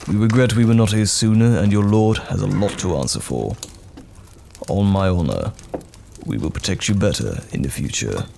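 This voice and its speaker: Over clear background noise comes a gruff, loyal knight commander acted by a man, with an extremely low voice full of heavy gravel. He talks in hearty, old-fashioned soldierly turns of phrase, as a fantasy roleplay hero who rallies and protects his people. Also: heavily acted. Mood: solemn, pledging. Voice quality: very deep, gravelly. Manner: hearty, rallying, archaic soldierly speech. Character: gruff, loyal, kindly male knight general of fantasy realm. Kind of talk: fantasy audio roleplay acting.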